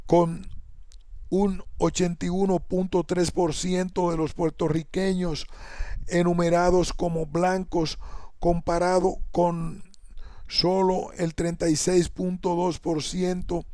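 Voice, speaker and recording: Slow, flat-voiced man reading aloud. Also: high voice, no room sound, clean studio sound. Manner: measured, even reading with occasional self-corrections. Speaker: essay reader. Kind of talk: reading an academic essay aloud.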